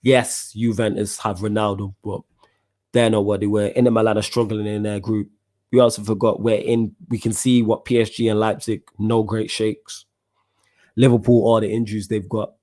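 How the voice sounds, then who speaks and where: A man's voice: lowish voice, little pitch movement, normal speed, darkish tone. A young man with a Mancunian accent hosting a casual football podcast, chatting in slang and answering viewer comments. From Manchester, England. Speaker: podcast host.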